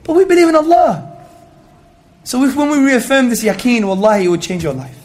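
A man's voice: extremely high pitch, lively intonation, fairly quick, darkish tone. A man giving an Islamic religious talk to an audience, speaking emphatically and rhetorically and peppering his speech with Arabic phrases. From Greek heritage. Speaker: Islamic lecturer.

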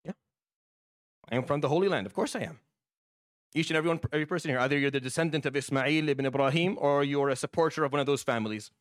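A man's voice: on the high side, fast, dry recording, studio quality. A man delivering a Friday sermon as imam, impassioned and repeating his points. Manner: impassioned, repetitive.

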